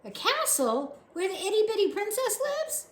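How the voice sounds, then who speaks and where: Woman doing a loud, simple servant girl. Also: very high-pitched, very animated, normal speed, roomy sound, voice pushed up. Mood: eager. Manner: loud, puzzled, rustic. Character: deaf, simple twelve-year-old servant girl.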